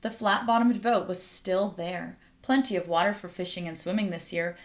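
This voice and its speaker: Expressive woman reading a picture book aloud. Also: on the low side. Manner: pauses to ask listeners questions. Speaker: read-aloud storyteller.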